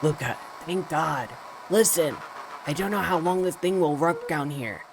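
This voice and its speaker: A man's voice: on the high side, measured pace, gravelly, background noise. A man voicing a frightened captive animal boy calling for help in a video game read-aloud. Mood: urgent.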